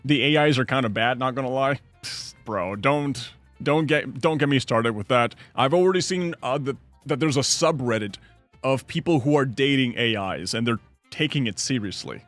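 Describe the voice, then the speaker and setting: A man's voice: medium pitch, normal speed, fairly bright tone, no room sound, soft background music. A young adult man streaming from home, rambling casually through chat questions and answering his viewers. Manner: rambling, chatty, reads chat aloud and answers.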